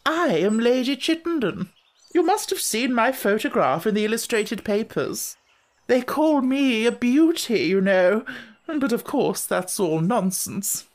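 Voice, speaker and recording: A storybook reader voicing a vain, impulsive society lady who gushes in a high, fluttering voice. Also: lively intonation, unhurried. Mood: gushing. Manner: gushing, high-flown and breathless.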